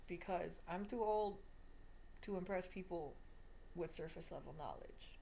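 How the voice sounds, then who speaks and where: A woman's voice: lowish voice, unhurried, creaky, dark tone, a little hiss or hum, poor audio. A woman of twenty giving a confessional video diary monologue in an emphatic, repetitive, self-deprecating way. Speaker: vlogger.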